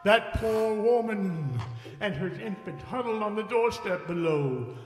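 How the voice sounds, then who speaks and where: A tormented, ominous male ghost for a radio-style stage play, echoing through a long reverb. He declaims in drawn-out, mournful phrases and wails. Faint music sits behind him. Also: high voice, expressive. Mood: grave warning. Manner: slow, declamatory, wailing, drawn-out delivery. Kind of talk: radio-style stage audio drama acting.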